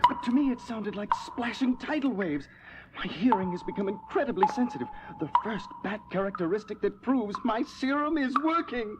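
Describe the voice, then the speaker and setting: An actor playing a frantic, melodramatic scientist who is turning into a bat creature, in a 1970s audio drama. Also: male voice, sits high, expressive, moderate pace, very noisy. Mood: astonished triumph. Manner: melodramatic, exclamatory.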